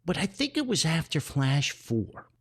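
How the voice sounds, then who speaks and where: A man's voice: fairly high pitch, very animated, unhurried, husky. A middle-aged man hosting a podcast, telling nostalgic anecdotes in a casual, rambling way. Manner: rambling, chuckles often, nostalgic storytelling. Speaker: podcast host and author.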